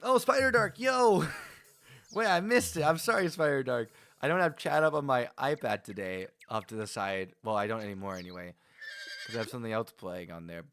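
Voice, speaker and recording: A man's voice: medium pitch, normal speed, fairly bright tone. A man casually chatting with his viewers while playing a game on a livestream, rambling and laughing as he goes. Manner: chatty, rambling, frequent restarts and laughs, talks to viewers by name. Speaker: game streamer.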